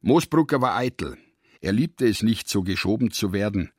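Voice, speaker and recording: A man narrating a literary radio drama in measured, deliberate phrases. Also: fairly low pitch, expressive, husky, no room sound, studio quality.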